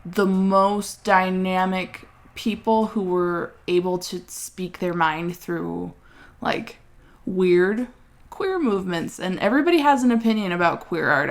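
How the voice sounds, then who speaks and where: A young woman co-hosting a casual art podcast, telling her story earnestly with animated asides and laughter. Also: on the low side, gravelly. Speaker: podcast co-host. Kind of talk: casual chat.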